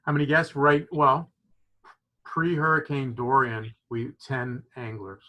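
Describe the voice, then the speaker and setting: Man, from Florida, lodge owner, bright forceful voice, enthusiastic, video call. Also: medium pitch, flat delivery, unhurried, poor audio. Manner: enthusiastic explainer, frequent "you know" fillers. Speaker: fishing lodge owner, guest. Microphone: video call microphone.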